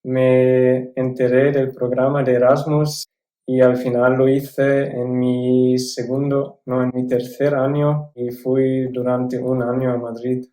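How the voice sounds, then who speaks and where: A young man from South Tyrol, a native German speaker, answering interview questions in careful, measured learner's Spanish with some restarts. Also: mid-range voice, level tone, dark tone. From South Tyrol, Italy. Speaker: guest. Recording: echoey room.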